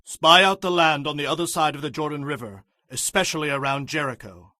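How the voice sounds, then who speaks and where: A man's voice: on the high side, a little fast, pushed and bright, dry recording. A man playing a resolute, commanding leader of ancient Israelite tribes, declaiming with authority in a dramatized audio Bible. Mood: firm, secretive.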